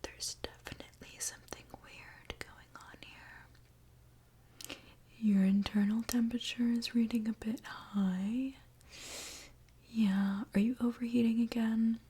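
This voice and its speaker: A woman whispering softly in an ASMR roleplay as a friendly, caring mechanic, with a gentle, soothing manner. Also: on the high side, fairly even intonation. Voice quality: breathy soft whisper. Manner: gentle, unhurried, soothing reassurance. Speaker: ASMR performer playing a robot mechanic.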